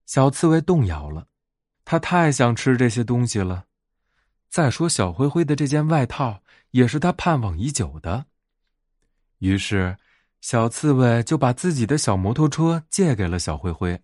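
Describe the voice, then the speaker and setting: Guy telling a bedtime fairy tale, expressive, pitch moves a lot, very dry recording. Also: normal speed, dry recording. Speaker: storyteller, bedtime story show host.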